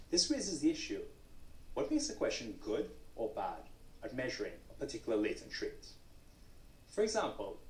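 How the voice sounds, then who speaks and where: Trinidadian man giving a lively lecture. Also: medium pitch. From Trinidad and Tobago. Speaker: researcher presenting a course video. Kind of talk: scripted course lecture. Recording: played course video, roomy with faint noise.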